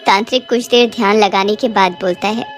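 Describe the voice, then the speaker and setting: A woman's voice: medium pitch, steady tone, a little fast, faint music behind. A woman narrating a scripted cartoon horror bedtime story.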